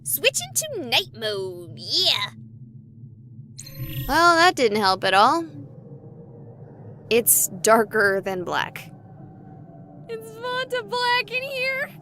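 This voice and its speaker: A woman playing an excitable, jokey young streamer in a haunted hospital video game, expressive and rambling. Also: high-pitched, drawn-out words, pauses often, a little hiss or hum, full character voice. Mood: nervous, wry. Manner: expressive, rambling, wisecracking to an unseen audience.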